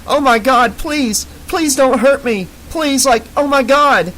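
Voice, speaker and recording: A man voicing a drunk, dazed young woman who slurs and sobs in a high, whiny voice. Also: sing-song, slow pace, a bit bright, full character voice. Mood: terrified. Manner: slurred, sobbing, high squeals.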